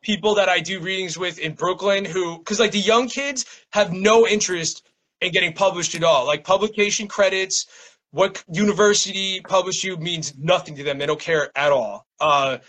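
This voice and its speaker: Bright-voiced man, rambling casual talker. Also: sits high, normal speed, poor audio. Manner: rambling, self-interrupting, frequent "like". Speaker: interview guest, poet and small-press publisher. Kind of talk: casual interview chat.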